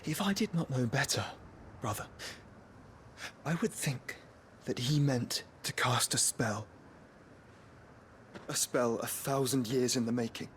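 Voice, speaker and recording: A man's voice: medium pitch, a little hiss or hum, close to an everyday voice. Actor as a solemn, articulate nobleman. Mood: earnest, pondering. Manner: measured, articulate, formal. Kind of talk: dramatic video game acting.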